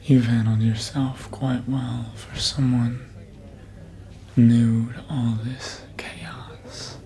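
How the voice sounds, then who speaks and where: An actor playing a seductive, manipulative vampire in a hushed, breathy ASMR roleplay whisper, teasing and deliberate, close to the microphone. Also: flat delivery, a crowd in the background. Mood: sly, approving. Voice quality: breathy whisper and low murmur. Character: seductive, manipulative elder vampire toying with a fledgling.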